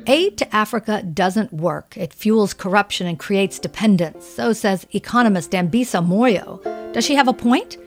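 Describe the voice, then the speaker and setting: A woman's voice: medium pitch, lively intonation, moderate pace, faint music behind. A woman presenting and interviewing in a scripted radio news style.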